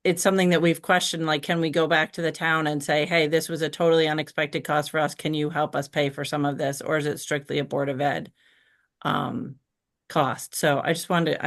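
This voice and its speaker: American woman, notably low voice, board member raising a matter informally and somewhat tentatively. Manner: tentative, conversational. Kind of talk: informal meeting question.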